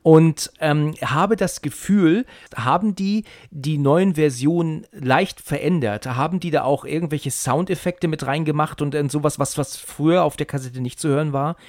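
Higher-pitched man, close dry sound. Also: moderate pace, few pauses, darkish tone, no echo. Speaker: podcast interviewer. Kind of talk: casual interview chat.

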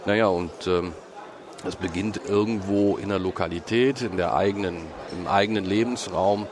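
A man's voice: low-pitched, steady tone, normal speed, crowd noise. A man from Cologne, guest on a podcast, explaining at length with many hesitations, recorded in a busy parliament foyer. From Cologne, Germany. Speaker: guest, head of a state environmental association. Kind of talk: podcast interview answers. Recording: noisy public lobby.

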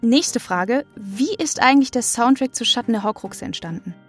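A woman's voice: on the high side, expressive, normal speed, breathy edge, faint music behind. A woman acting as interviewer, reading out prepared questions to her guest. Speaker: interviewer, intern at audio drama label.

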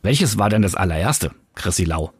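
A man's voice: sits low, lively intonation, moderate pace, husky, dry recording. A man presenting on radio, reading scripted narration and putting questions as an interviewer.

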